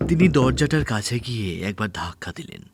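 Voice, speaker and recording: A man's voice: medium pitch, big pitch swings, a little fast, vocal fry, husky. A man reading scripted dramatic narration for an audio story. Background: faint background music.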